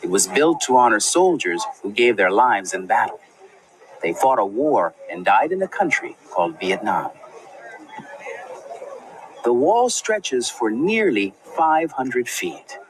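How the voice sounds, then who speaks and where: A man delivers scripted narration for a children's book programme in a measured, reflective way. His mid-pitched voice rises and falls expressively. Ambient noise is clearly heard on the recording. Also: sing-song, a faint crowd. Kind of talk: scripted documentary-style narration. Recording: clearly noisy soundtrack with ambient sound.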